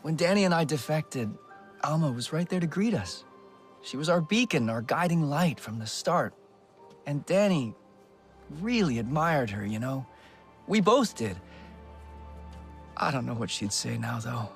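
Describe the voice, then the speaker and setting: An actor playing a lost, grieving defector in a video game, earnest and unsure, with a hesitant, reflective manner. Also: male voice, a little high, animated, measured pace, long pauses, faint music behind. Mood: wistful, lost. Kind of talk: video game character acting.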